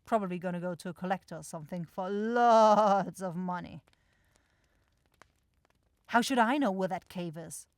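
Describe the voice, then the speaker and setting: A woman playing an irritable, sharp-tongued demon shopkeeper in a scripted fantasy ASMR roleplay, blunt and exasperated. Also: fairly low pitch, moderate pace, pauses often, no room sound, lightly acted. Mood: irritated, dismissive. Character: grumpy, sarcastic demon goat-eyed shopkeeper sister, sharp-tongued.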